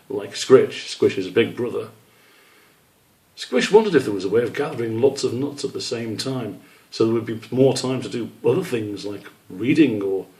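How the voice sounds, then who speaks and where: A man's voice: medium pitch, mellow, low-quality recording. A man narrating a children's storybook as the author reading his own work.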